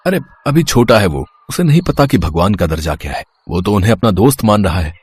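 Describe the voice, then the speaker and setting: A man voicing a gentle, patient young father who explains soothingly in a children's moral story. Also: fairly low pitch, big pitch swings, fairly quick, slightly rough, no echo. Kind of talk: animated Hindi moral story dialogue.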